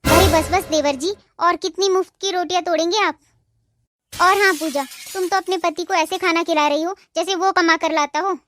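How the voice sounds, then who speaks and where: A woman playing a sharp-tongued, bossy young sister-in-law in a cartoon moral story, with a sarcastic, scolding manner. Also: extremely high pitch, fairly even intonation, normal speed, slight background noise. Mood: scornful.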